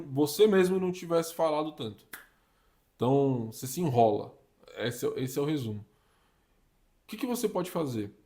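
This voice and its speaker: A young man from Brazil, giving casual career advice in an animated, slangy way. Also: a little high. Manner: slangy and animated, uses "tá ligado", "mano", "papo reto". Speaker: career vlogger giving communication tips.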